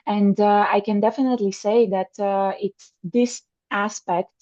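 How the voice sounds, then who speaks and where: A woman's voice: mid-range voice, fairly even intonation, unhurried, dark tone, muffled recording. A woman from Bulgaria hosting a conversational interview, speaking earnestly with frequent fillers and restarts. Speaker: podcast host.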